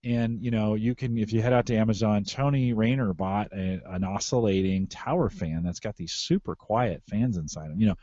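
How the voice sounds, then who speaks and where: A man's voice: fairly low pitch, normal speed, few pauses, warm tone, dry recording, muffled recording. A middle-aged American man hosting a casual tech podcast, repeating phrases for emphasis. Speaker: podcast host. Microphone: close podcast microphone through mixer.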